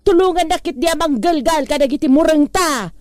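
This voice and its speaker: A high, dry-recorded voice of an exasperated wife played by a woman in an Ilocano-accented comedy drama. She is sharp-tongued and impatient, cutting in to scold. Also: fairly quick, dry recording, natural voice. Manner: scolding, interrupting. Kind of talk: comedy audio drama acting.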